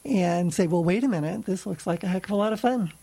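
A woman with a lower-pitched voice, chatting in an interview about organizing a ukulele festival and telling laughing anecdotes. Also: fast talker, warm tone, slightly rough. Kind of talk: casual interview chat.

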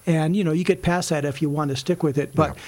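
Easygoing American man, slightly high voice. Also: steady tone, fast talker, a little mellow. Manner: easygoing, explanatory. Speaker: ukulele club member, interview guest. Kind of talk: casual interview talk.

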